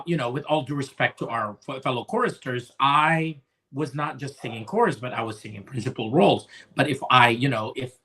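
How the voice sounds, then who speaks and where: A man's voice: medium pitch, fairly bright tone, breathy edge. A man in his forties from the Philippines, an opera singer chatting as a guest, rambling with lots of restarts and fillers. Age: 40s. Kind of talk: casual chat.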